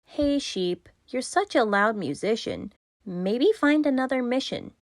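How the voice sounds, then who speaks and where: A woman's voice: fairly high pitch, animated, measured pace, no echo, studio quality, pitched above the performer's own voice. A woman voicing a sleepless young boy in a rhyming storybook, exasperated and pleading.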